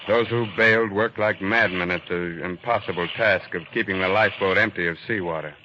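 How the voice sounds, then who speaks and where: An actor playing an earnest, reflective ship's doctor, narrating solemnly and deliberately in a 1940s radio drama. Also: male voice, deep voice, steady tone, noisy background, close to an everyday voice. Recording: muffled vintage 1940s radio recording.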